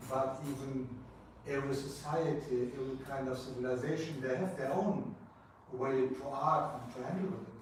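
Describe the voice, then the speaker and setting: A man from Afghanistan, speaking English as a second language, comments from the audience at a panel in a halting way. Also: medium pitch, steady tone. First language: not English. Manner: halting, searching for words. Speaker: audience member. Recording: echoey room, distant mic, faint noise.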